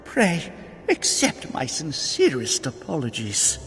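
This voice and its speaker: A proud fantasy noblewoman, florid and emphatic. Her voice swings widely in pitch and rings in long hall reverb over faint music. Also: wildly swooping pitch, slow, heavily acted. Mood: indignant, apologetic. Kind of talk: dramatic fantasy game dialogue.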